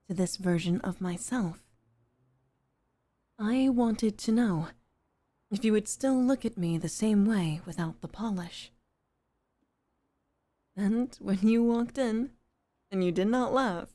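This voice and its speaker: A woman playing a composed, formal head maid as a devoted girlfriend in an intimate ASMR roleplay, speaking softly and often near a whisper. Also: medium pitch, dry recording. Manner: soft, measured, formal, often dropping toward a whisper.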